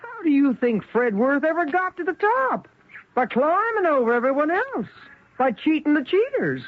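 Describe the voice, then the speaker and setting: A wealthy older widow with a high, very animated voice. She is theatrical, teasing and shrewd, with folksy exclamations. It comes over as a tinny, slightly noisy radio drama recording. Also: moderate pace. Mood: mocking, amused. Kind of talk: 1970s radio drama acting.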